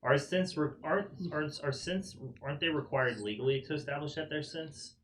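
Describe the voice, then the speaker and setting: A man playing a tabletop game, asking casual questions in a halting, inquisitive way. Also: a little high, a bit bright. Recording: noisy room recording with clicks.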